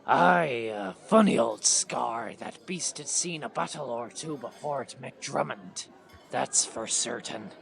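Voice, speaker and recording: A man's voice: medium pitch, lively intonation, takes it slowly, creaky, fairly bright tone, full character voice. A man playing a wry, knowing tavern pirate in an audio play, speaking in salty old-fashioned dialect.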